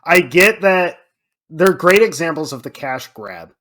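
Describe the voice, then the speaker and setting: A man's voice: on the high side, animated, unhurried, a bit bright. A man co-hosting a film podcast, talking casually and swearing freely as he acts out his own reactions. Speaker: podcast co-host.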